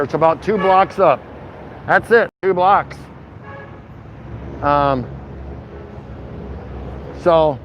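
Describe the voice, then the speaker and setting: A man's voice: a little high, lo-fi sound. Guy livestreaming his walk, loose slangy rambling chat, kinda folksy, some traffic noise behind him. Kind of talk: casual chat to viewers. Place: on a walk around a city, stopping at a convenience store. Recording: street and vehicle noise behind. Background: traffic and ambient noise.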